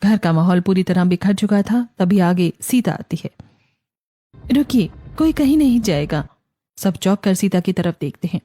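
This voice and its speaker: A woman with an Indian accent reads scripted narration for an animated family drama. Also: lowish voice, moderate pace, hardly any pauses, faint music behind. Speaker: story narrator.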